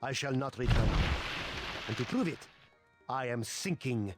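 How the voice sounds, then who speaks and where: A man's voice: mid-range voice, animated, a little slow, lightly acted. A man playing a bold, greedy Spanish conquistador in a video game, proclaiming pompously and theatrically. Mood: defiant, boastful. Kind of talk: theatrical scripted game dialogue. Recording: background music under the voice.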